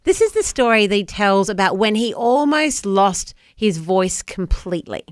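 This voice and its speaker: Brief: female radio host, animated voice, dry close studio mic. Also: mid-range voice, normal speed, no echo. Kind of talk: breakfast radio chat. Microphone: studio microphone.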